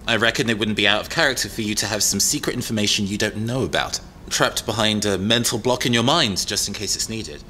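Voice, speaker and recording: An actor playing a thoughtful, slightly uneasy young tech expert in an audio drama, explaining things in a hesitant, natural way. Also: male voice, on the low side, quick pace, few pauses, bright, forceful tone, slight background noise. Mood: explanatory.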